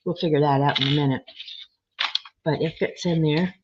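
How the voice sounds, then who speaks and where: A woman with a slightly froggy, hoarse voice, chatting casually as she works on a craft project and reads viewer comments. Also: low voice, steady tone, normal speed, background noise. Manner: chatty, thinking aloud while working, reading comments. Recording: dull lo-fi sound.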